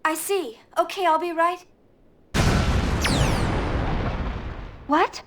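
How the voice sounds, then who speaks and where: A woman's voice: very high voice, fairly even intonation, normal speed, fairly bright tone. An actress playing an earnest young female scientist in an English-language video game cutscene. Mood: brisk, curious.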